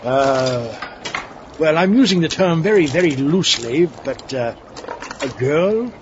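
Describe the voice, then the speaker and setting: Man as a fussy, high-voiced mad scientist. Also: expressive, slow. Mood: callous, fussy. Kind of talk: comedy audio drama. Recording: dull, noisy sound.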